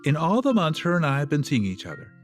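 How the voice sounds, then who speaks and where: A man acts a frank, affectionate boyfriend in a radio play, easygoing and sincere. His pitch moves around in a lively way, with faint music underneath. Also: fast. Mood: earnest.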